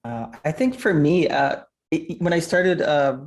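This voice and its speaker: A woman's voice: deep voice, expressive, unhurried. A woman artist and composer speaking casually and lightly on a video call.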